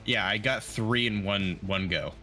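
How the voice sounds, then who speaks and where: A man's voice: fairly low pitch, unhurried, pushed and bright, soft background music. A man chatting casually as a player in a tabletop roleplaying game, hesitant and often restarting his sentences.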